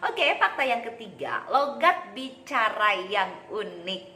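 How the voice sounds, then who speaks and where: A young Sundanese-accented woman talks casually in a playful, teasing way. Her voice is bright and lively, rising and falling a lot. She sounds like she is in an echoey room with a faint hiss behind her. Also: a little high, takes it slowly. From West Java, Indonesia. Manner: playful, teasing, laughs at own jokes. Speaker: vlogger. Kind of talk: casual talk to camera.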